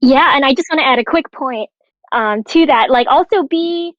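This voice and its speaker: A woman's voice: high voice, normal speed, a bit bright, dry recording, muffled recording. A woman speaking casually as a guest in a panel chat.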